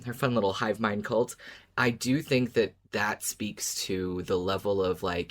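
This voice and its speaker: A woman with a low-pitched voice chats casually as a podcast panelist. Her phrasing is informal and playful while she analyzes a piece of fiction. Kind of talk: casual chat, fan analysis.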